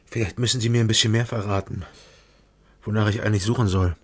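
An actor playing a wary investigator in a radio crime drama, terse, matter-of-fact and sceptical. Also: male voice, lowish voice, steady tone, fast. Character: wary, dry male investigator narrating his own case.

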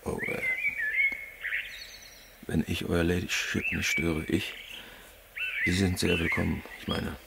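An actor playing a shy, gruff gamekeeper in a radio drama, speaking haltingly and with tenderness. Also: male voice, deep voice, little pitch movement, heavy background noise, exaggerated voice. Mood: awkward, deferential. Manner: halting, understated, hesitant speech. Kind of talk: German radio drama acting. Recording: studio radio drama with loud music.

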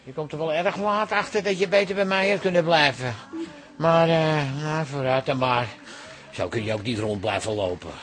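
Radio comedy role: bossy, camp hairdresser, lively swooping delivery, bright tone. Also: male voice, fairly high pitch, normal speed. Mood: offended. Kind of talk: Dutch radio comedy-drama acting.

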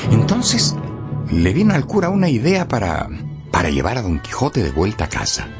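A man narrating a scripted radio drama as a storyteller, in a dramatic and expressive way. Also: fairly low pitch, normal speed, over music.

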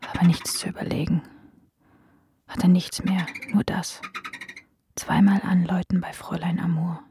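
An actress playing a destitute, weary seventeen-year-old girl in a radio play, speaking in hushed, terse, resigned phrases. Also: on the low side, level tone, unhurried, whispered. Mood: weary, bitter. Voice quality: hushed, breathy. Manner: terse, resigned, clipped sentences. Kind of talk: German radio play acting.

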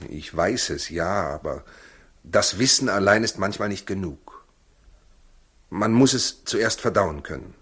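An actor in a 1960s German radio play as a proud working husband torn by doubt, husky, weary and defensive. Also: male voice, low voice, animated, measured pace, a bit bright, full character voice.

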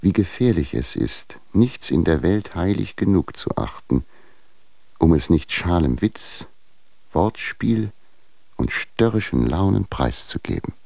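Stern patriarch, extremely deep, gravelly, formal, reproachful, radio drama. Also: male voice, very animated, unhurried, mellow, no room sound, heavily acted. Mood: stern admonishing. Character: stern, formal patriarch admonishing a younger husband. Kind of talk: German radio drama, literary letter reading.

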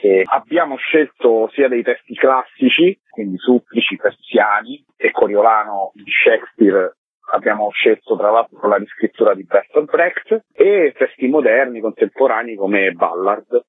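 Husky man on a narrow phone line. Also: on the low side, measured pace, no echo. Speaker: interviewed theatre director and actor. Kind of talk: radio interview, explaining a project. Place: phone call to a radio show.

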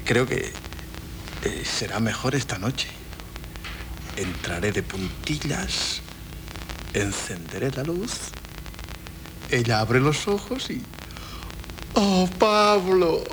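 An actor playing a nervous, flustered husband in a 1970s radio drama, hesitant and pleading. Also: male voice, mid-range voice, expressive, takes it slowly, pauses often. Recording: old radio broadcast with faint hiss.

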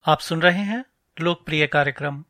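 A man's voice: on the high side, normal speed, pushed and bright. A man hosting a podcast, giving a scripted radio-style introduction. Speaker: podcast host.

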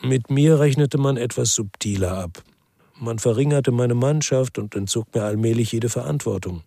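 An actor playing a weary, bitter former policeman who narrates a noir crime radio drama in a measured, laconic way. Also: medium pitch, creaky, dark tone, natural voice. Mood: grim, reflective.

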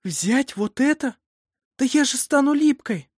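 A woman voicing a fussy but tender-hearted lady in an audiobook, speaking in expressive exclamations. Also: high voice, unhurried, breathy edge, dry recording, studio quality, voice pushed up, full character voice. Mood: squeamish protest.

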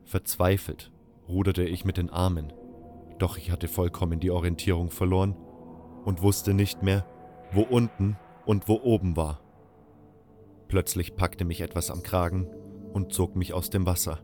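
A man with a low-pitched voice narrates a story in the first person. His delivery is that of dramatic audio storytelling, with music playing behind him. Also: flat delivery, normal speed.

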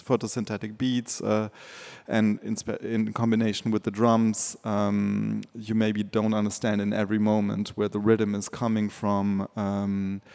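Gravelly low-voiced man, thoughtful. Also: darkish tone. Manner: thoughtful, hesitant, many fillers. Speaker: artist. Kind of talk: reflective panel discussion.

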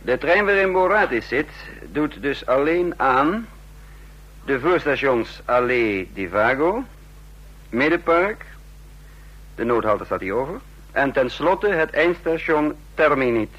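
Sardonic commanding spy chief, dull recording. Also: male voice, medium pitch, lively intonation, fairly bright tone. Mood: brisk, instructing. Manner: brisk, teasing, giving orders. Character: sardonic, commanding male chief of secret agents. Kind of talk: Dutch radio play acting.

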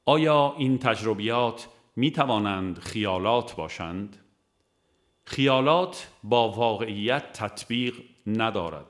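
A man reads a scripted audiobook in a measured, formal manner. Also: fairly low pitch, a bit bright. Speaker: audiobook narrator.

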